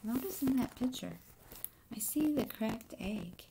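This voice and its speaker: A middle-aged woman reads a children's book aloud in deliberate short phrases, pausing to put questions to young listeners. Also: a little high, animated, vocal fry, mellow, slight background noise, rough recording. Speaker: teacher reading a picture book aloud. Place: indoor room in a home.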